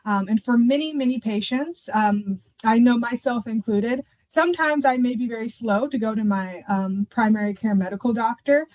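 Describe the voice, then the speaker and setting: A woman's voice: a little high, normal speed, hardly any pauses, darkish tone. A woman hosting an informational webinar as presenter, speaking over an online call. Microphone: online video call. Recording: dull, lo-fi webinar audio.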